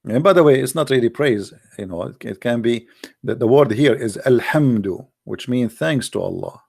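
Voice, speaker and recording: A man's voice: mid-range voice, expressive, normal speed, creaky, a little mellow. A man giving an argumentative religious monologue, full of rhetorical questions, in slightly broken English. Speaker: religious debater. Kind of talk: polemical monologue.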